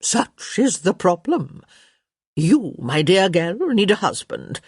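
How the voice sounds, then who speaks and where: A woman voicing an elderly countess, sharp, theatrical and blunt, in a story reading. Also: on the low side, very animated, slow, breathy edge, no room sound, studio quality, heavily acted. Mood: bossy.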